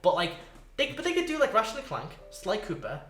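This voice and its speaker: A man with a somewhat high voice co-hosts a casual podcast. He talks in an excitable, rambling way with plenty of swearing. The room around him is clearly echoey. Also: fairly quick, soft background music. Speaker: podcast co-host.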